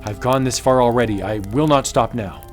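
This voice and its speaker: A man voicing an excitable, determined explorer anthropologist in a melodramatic comic book audiobook. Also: medium pitch, fairly quick, faint music behind, lightly acted.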